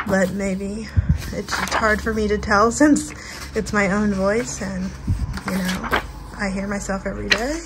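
Slow, gentle-voiced young woman. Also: mid-range voice, background noise. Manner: slow, gentle, relaxed, lots of "like". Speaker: channel host. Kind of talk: casual soft-spoken flip-through. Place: indoors at home, over a bed.

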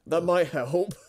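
A man's voice: high-pitched, dark tone, husky. A man in his twenties chatting casually as a co-host, easygoing and agreeable. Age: 20s. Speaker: co-host and channel owner. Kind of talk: casual chat, channel update.

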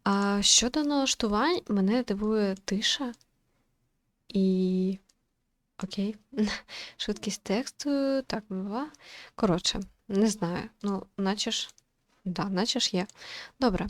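A woman's voice: mid-range voice, takes it slowly, pauses often, no room sound. A woman hosting a gaming video, chatting casually as she comments and reads along. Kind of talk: casual game commentary and read-aloud.

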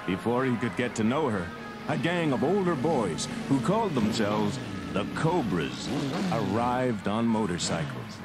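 Man, storybook narrator, lively low voice, old cassette recording, loud music behind. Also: a little slow. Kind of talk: scripted read-along story narration.

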